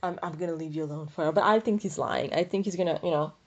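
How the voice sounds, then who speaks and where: A woman's voice: low-pitched, racing, highs cut off. A woman hosting a book podcast in casual, unscripted talk, thinking aloud in a rambling, opinionated, slangy way. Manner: informal, slangy, freely swearing. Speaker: book review podcast host.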